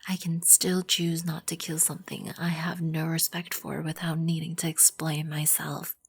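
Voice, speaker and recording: A woman playing a proud, composed vampire queen in an ASMR roleplay, with an imperious, formal manner and a breathy, husky voice. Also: low voice, level tone, normal speed, heavily acted. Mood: stern, indignant. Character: regal, composed, proud vampire queen, protective of her colony. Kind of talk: ASMR roleplay, scripted second-person acting. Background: background music.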